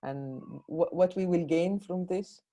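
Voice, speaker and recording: A woman filmmaker speaks English with a Sudanese, Egypt-tinged Arabic accent. Her voice sits low and sounds very dark and mellow, and she talks fast, breaking off and restarting her sentences. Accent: Egyptian-influenced Sudanese Arabic. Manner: fast, halting speech with restarts. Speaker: film director. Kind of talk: festival Q&A conversation.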